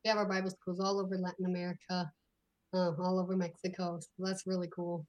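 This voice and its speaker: A woman's voice: lowish voice, flat delivery, fast talker, a little mellow, rough recording. A woman who is losing her voice from coughing, speaking lightly and informally over a video call. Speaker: missions ministry worker.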